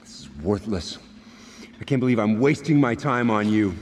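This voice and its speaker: A man's voice: lowish voice, moderate pace, a little hiss or hum, lightly acted. An actor playing a pompous, impatient man of God in a game cutscene. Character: pompous priest on a mission, easily moved. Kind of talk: video game cutscene acting.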